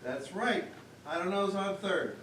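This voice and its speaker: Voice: medium pitch, a little slow, a bit bright, lightly acted. A performer playing a brash, wisecracking baseball manager with blunt, matter-of-fact comebacks in a stage comedy routine. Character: brash, wisecracking, unflappable small-town baseball team manager. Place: small-town theater stage. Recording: distant mic in echoey theater, faint noise.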